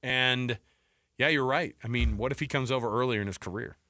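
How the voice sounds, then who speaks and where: A man hosting a sports radio show, talking in animated, conversational banter and often posing rhetorical questions. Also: mid-range voice, a little fast, no room sound, studio quality. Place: radio studio. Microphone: close studio microphone.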